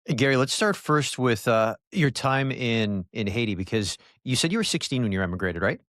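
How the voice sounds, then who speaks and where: A man's voice: medium pitch, expressive, fairly quick, fairly bright tone, no echo, studio quality. A man hosting a podcast interview, asking friendly, guiding questions. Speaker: podcast host.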